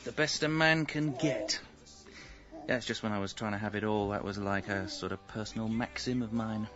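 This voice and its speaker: Hapless, earnest husband and dad, played by a male actor, low voice, dry wry radio comedy delivery, slightly muffled. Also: moderate pace, music in the background, natural voice. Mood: puzzled. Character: hapless, earnest, self-deluding young father and husband. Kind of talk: radio comedy acting with wry first-person narration.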